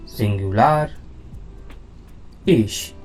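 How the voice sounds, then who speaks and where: Male tutor, unhurried and patient, mid-pitched, faint music bed. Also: a little mellow. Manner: patient, repeats words, frequent "now" and "okay?". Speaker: language teacher. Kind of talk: slow beginner lesson explaining on-screen slides.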